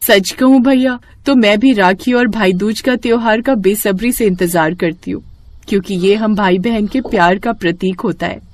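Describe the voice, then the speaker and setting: A woman voicing a gentle, loving goddess and devoted sister, with formal, affectionate speech, in an animated mythological story. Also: medium pitch, normal speed, natural voice.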